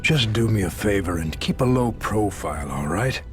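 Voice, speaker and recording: An actor playing a gruff, caring, world-weary doctor in a video game, measured and gently scolding. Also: male voice, on the low side, expressive, music in the background, close to an everyday voice. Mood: calm advising. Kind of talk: video game cutscene voice acting.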